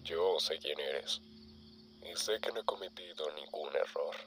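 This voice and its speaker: A man with a Latin American accent playing a cold, calm, self-assured villain in an animatronic suit, in an animated fandub. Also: a bass voice, measured pace. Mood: cold menace. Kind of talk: amateur animated fandub acting.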